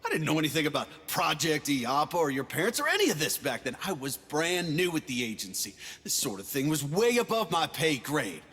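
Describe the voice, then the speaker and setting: An actor playing a veteran male agency operative and rough mentor, earnest in manner. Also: fairly high pitch, very animated, fairly quick, hardly any pauses, a bit bright, in a large hall.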